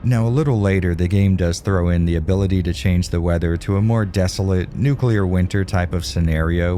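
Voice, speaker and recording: A man with a low, mellow voice talks through a game review voice-over. His delivery is casual and candid, with a dry, wry touch, and a faint hiss sits under the recording.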